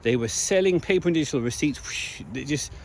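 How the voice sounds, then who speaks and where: Slightly hoarse man vlogging outdoors. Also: a little high, animated, fast, darkish tone, a little hiss or hum. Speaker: video blogger. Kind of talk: casual monologue to viewers.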